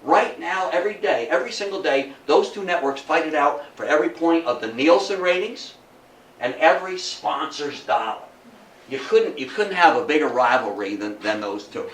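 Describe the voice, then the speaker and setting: A man's voice: fairly high pitch, animated, normal speed, fairly bright tone. Emphatic American male lecturer, roomy distant sound. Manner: emphatic, repeats for effect, engages listeners with questions. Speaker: guest lecturer. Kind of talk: public history lecture to a live audience. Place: indoor room in front of an audience. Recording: roomy, distant mic, faint background noise.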